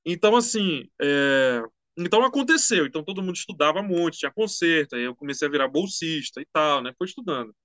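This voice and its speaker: Chatty high-voiced man. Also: fast, no echo. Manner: relaxed, slangy, chatty. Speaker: musician guest. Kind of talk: casual interview storytelling.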